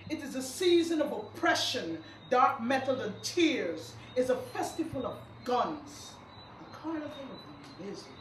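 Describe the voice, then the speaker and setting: A young woman performs a poem dramatically and slowly, her pitch swinging widely. The room is echoey and clearly noisy. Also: big pitch swings. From Guyana. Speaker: performance poet. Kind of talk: dramatic poetry recital. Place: indoor live recital event.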